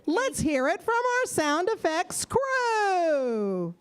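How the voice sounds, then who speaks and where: Female children's show presenter, scripted live delivery, very animated and slow. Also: very high voice, a little hiss or hum. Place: live library event before an audience.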